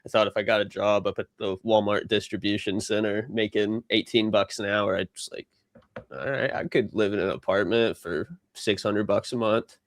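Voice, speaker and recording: A young man talks casually in a podcast chat, laid-back, with lots of filler words. His voice is fairly low. The sound is close and dry with no room echo. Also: steady tone, moderate pace. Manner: laid-back, filler-heavy, laughs easily.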